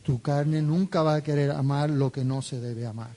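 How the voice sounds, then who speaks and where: A man interpreting a sermon into Spanish, phrase by phrase, in a steady way. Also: on the high side, normal speed. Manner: steady, follows the preacher phrase by phrase.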